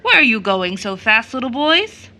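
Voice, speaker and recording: A woman's voice: a little high, very animated, moderate pace, sharp tone. A woman storyteller voicing a pompous, commanding, rich old man who tempts children in a fairy tale. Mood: persuasive.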